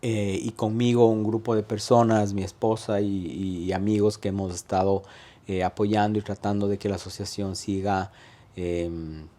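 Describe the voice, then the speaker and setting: A man with an Ecuadorian accent in his thirties, a guest telling his life story in an interview, pausing often on fillers and restarting phrases. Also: lowish voice, level tone, warm tone. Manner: hesitant, many "eh" fillers and restarts. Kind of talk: conversational interview.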